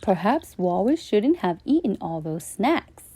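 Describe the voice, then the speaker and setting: A woman voicing a tired father at bedtime in a storybook reading, muttering in a flat way. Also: mid-range voice, a little slow, noisy background. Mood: weary.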